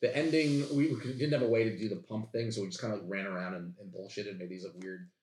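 Fast-talking young man, echoey room. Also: on the low side, fairly even intonation, a little mellow. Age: young adult. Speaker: guest comedian and sketch writer. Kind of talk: casual podcast chat. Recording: roomy, echoey podcast room.

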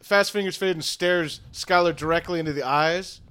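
A man's voice: fairly high pitch, normal speed, sharp tone, smooth. Man narrating a tabletop game. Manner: loose, laughing narration. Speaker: game master.

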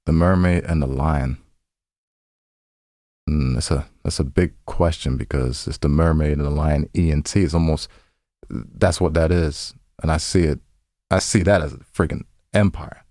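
A man's voice: a bass voice, moderate pace, mellow, no room sound, studio quality. A man co-hosting a podcast in casual banter, rambling informally and often repeating his phrases. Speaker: podcast co-host. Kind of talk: casual banter between co-hosts.

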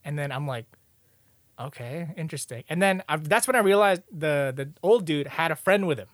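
A man's voice: a little high, lively intonation, normal speed, no room sound, studio quality. A young man telling a story in casual, slangy, sweary chat, acting out what people said as he goes. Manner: slangy, profane, acts out quotes conversationally. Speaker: podcast co-host telling a story.

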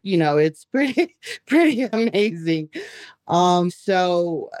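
A woman's voice: fairly low pitch, big pitch swings, slow, darkish tone, no echo. Woman in her 40s rambling along, lots of restarts, dry sound. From Belarus. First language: Russian. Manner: chatty, rambling, frequent restarts. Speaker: guest artist. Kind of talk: live-streamed interview.

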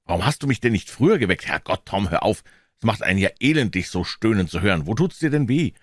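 A man voicing an anxious, excitable young boy who speaks in urgent exclamations in a storybook. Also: fairly low pitch, quick pace, no room sound, studio quality. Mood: worried, pleading.

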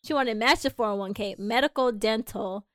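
A woman's voice: a little high, brisk, fairly bright tone, no room sound, studio quality. A woman who is a dental hygienist and practice owner, co-hosting a casual podcast chat and animatedly acting out the people she quotes.

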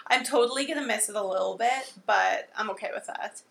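A woman from Saskatchewan co-hosting a podcast in casual chat, upbeat and quick to agree. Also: medium pitch, gravelly, a bit bright, slight background noise. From Saskatchewan, Canada. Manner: upbeat, agreeable, frequent affirmations. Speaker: podcast co-host.

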